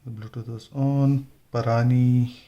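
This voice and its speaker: A man's voice: mid-range voice, little pitch movement, a little slow, mellow, lo-fi sound. A man giving an informal hands-on tutorial, thinking aloud as he works. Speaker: motovlogger demonstrating gear installation. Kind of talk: casual hands-on tutorial narration.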